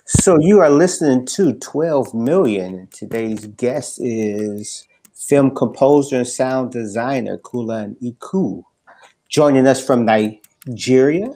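A middle-aged man hosts an interview podcast. His voice sits at a middle pitch, and he speaks conversationally into a close mic on a video call. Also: lively intonation, slow, mellow. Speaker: podcast host. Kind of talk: conversational hosting. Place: indoor room, on a video call.

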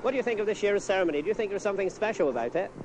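A man's voice: high voice, lively intonation, racing, a crowd in the background, poor audio. A man working as a radio reporter, doing live interviews outdoors at a fair. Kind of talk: live outside broadcast interviewing. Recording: outdoor fair tape with background music.

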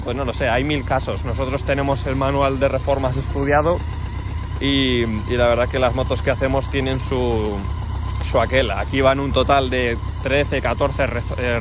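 A man from Spain with a Spanish accent, giving an informal, explanatory walkthrough as a workshop host, with chatty asides. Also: mid-range voice. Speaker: motorcycle workshop builder and channel host. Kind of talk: casual hands-on vlog walkthrough. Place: outdoors in a paved car park. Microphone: handheld phone held by the speaker. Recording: clear outdoor street and vehicle noise.

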